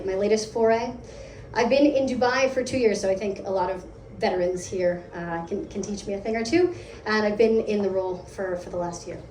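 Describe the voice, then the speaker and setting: An American woman leading a product team gives a lively, conversational meetup talk, asking the audience questions. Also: medium pitch. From United States. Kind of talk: live conference talk. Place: event hall. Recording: echoey hall, distant mic, faint hum.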